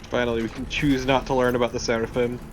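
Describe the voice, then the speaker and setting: A man's voice: mid-range voice, level tone, quick pace. Wry man chatting over game music. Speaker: let's play co-host. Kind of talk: casual chat over gameplay. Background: video game music.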